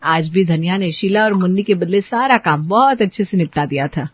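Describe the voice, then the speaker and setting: A woman's voice: on the low side, a little fast, husky, dry recording, muffled recording. Woman narrating a story, dry sound.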